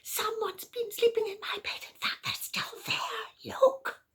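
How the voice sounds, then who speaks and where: A woman doing a squeaky little boy bear. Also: fairly even intonation, a little slow. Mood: excited. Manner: high squeaky childish voice.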